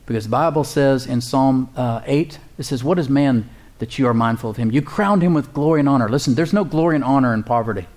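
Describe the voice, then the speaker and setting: A man's voice: medium pitch, quick pace, a little mellow. A male pastor preaching a sermon in a conversational, emphatic way, repeating words and chuckling now and then.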